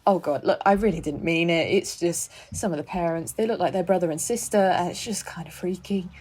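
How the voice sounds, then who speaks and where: Husky, chatty woman schoolteacher. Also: lowish voice, fairly quick, darkish tone. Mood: sheepish, gossipy. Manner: chatty, apologetic. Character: chatty, eager-to-please woman schoolteacher with indiscreet streak. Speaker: actor in an audio play. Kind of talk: naturalistic audio drama acting.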